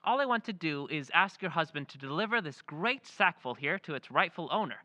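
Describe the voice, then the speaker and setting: A man's voice: a little high, animated, brisk, sharp tone, dry recording. A man playing a polite, formal, foreign-born stranger in an old-time radio drama. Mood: courteous. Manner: courteous, formal, smooth.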